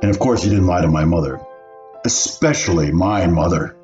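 An actor playing a wry elderly man haltingly recalling his schooldays in a radio play. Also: low-pitched, expressive, a little mellow. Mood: reminiscing. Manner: halting, reminiscing. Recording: muffled, roomy, music underneath.

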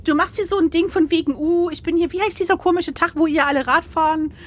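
A woman's voice: very high voice, fairly even intonation, fast. A woman bantering casually as a podcast co-host and player, cheeky and mock-indignant. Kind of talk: casual banter while playing a gamebook.

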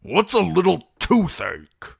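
A man voicing a grouchy, proud old man of a clan in a storybook, with a creaky, grumbling delivery. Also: sits high, huge pitch swings, slow, no echo, studio quality, voice pushed up, exaggerated voice. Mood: irritable, defensive. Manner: grumbling, impatient, snapping.

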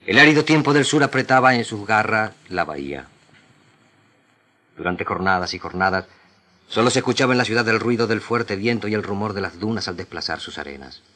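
A man with a very low, forceful voice recites literary prose like a trained radio actor. His delivery is solemn and measured, broken by deliberate pauses. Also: expressive. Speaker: radio drama actor reading literary excerpts.

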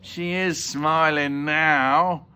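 A man voicing a menacing but easily spooked male sneak thief in a storybook, loud, deliberate and emphatic. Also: a little high, steady tone, clean tone, voice pushed up, full character voice. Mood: dismissive.

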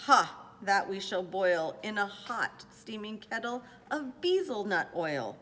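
A woman's voice: medium pitch, lively intonation, takes it slowly, a bit bright, in a large hall. A woman voicing a bossy, scornful big mother kangaroo from a storybook, with a haughty, snapping delivery. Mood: stern, threatening.

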